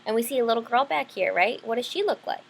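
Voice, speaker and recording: Casting: female teacher, higher register, children's read-aloud style, simple explanations and frequent check-in questions. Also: lively intonation, fast talker, creaky, breathy edge.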